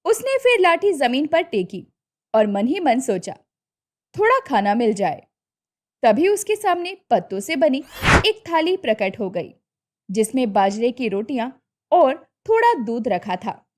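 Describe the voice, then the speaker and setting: A woman narrating a cartoon story in a lively, expressive storytelling manner. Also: sits high, a little slow.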